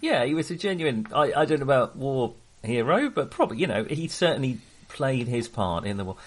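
Halting, hesitant man chatting about films. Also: mid-range voice, big pitch swings. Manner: hesitant, halting, trailing into half-finished thoughts. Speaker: co-host of a film discussion show. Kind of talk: casual film discussion.

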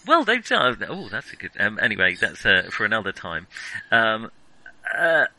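A man hosting a casual film discussion, talking in a hesitant, halting way as he thinks aloud. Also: on the low side, huge pitch swings, pushed and bright, no room sound, rough recording. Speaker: film podcast host.

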